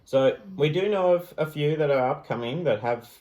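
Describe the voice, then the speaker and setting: A man's voice: fairly high pitch, normal speed, darkish tone, poor audio. A man talking casually as a co-host on a podcast.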